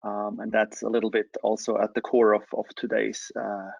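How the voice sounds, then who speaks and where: A man's voice: on the low side, monotone, moderate pace, vocal fry, husky, no room sound. Man presenting, dull dry audio. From Switzerland. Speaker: change management consultant, webinar presenter. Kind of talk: webinar presentation. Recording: dull, lo-fi online call audio.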